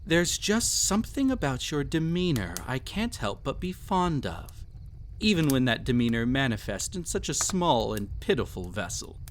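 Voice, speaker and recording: A man's voice: mid-range voice, sing-song, moderate pace, slight background noise, close to an everyday voice. A man playing a cruel, possessive, vain emperor toying with a captive in an intimate audio roleplay, with haughty, teasing, theatrical phrasing. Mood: possessive fondness.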